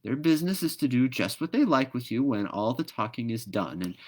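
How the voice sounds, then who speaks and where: Man doing a high-pitched, put-upon lady magpie. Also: lively intonation, a little fast, close to an everyday voice. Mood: matter-of-fact. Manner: objecting, matter-of-fact. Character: put-upon female magpie, reluctant and indignant. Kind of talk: storybook read-aloud character voice.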